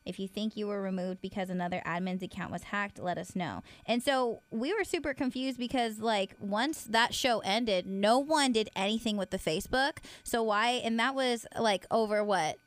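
A woman's voice: mid-range voice, normal speed, few pauses, dry recording. Blunt, teasing woman co-host. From Philippines heritage. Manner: teasing, blunt, mock-annoyed. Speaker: podcast co-host. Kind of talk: casual podcast chat.

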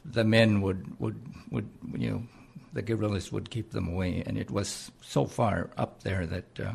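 A man in his seventies from the Philippines speaks with a Philippine accent. His voice sits low, gravelly and husky. He talks haltingly, with frequent pauses. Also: fairly even intonation, darkish tone. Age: 70s. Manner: hesitant, halting with many pauses. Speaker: interview guest, retired army colonel. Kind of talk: conversational interview.

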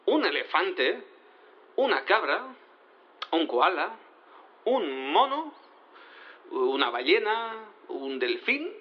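An actor playing a flustered quantum cat turned lawyer, rambling and hesitant in a comic audio drama courtroom. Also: male voice, high voice, slow, long pauses, lo-fi sound, heavily acted. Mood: excitable, rambling. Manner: hesitant, stammering, excitable, rambling.

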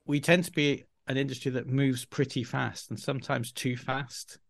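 A man's voice: medium pitch, level tone, normal speed, gravelly, no room sound. A middle-aged man from Yorkshire with a Yorkshire accent, chatting as a podcast guest in a rambling, self-deprecating way. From Yorkshire, England. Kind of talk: casual interview talk.

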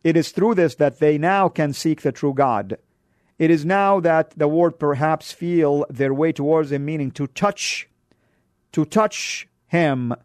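A man's voice: unhurried, darkish tone, no echo, clean studio sound. A man from Arabia, hosting a radio show and giving an emphatic sermon-style exhortation. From Saudi Arabia. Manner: emphatic, pitch rising on key words.

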